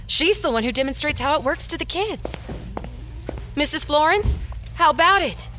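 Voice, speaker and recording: Lady playing a practical caring small town librarian, highish voice, audio drama with clear music underneath. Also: animated, normal speed, pushed and bright. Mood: concerned, eager. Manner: coaxing, helpful. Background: music under the dialogue.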